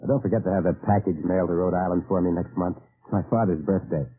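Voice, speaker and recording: An actor playing a dutiful American secret agent, respectful and restrained, in a 1950s radio drama. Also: male voice, deep voice, fairly even intonation, fast, over loud music, lightly acted. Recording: tinny, noisy vintage radio recording.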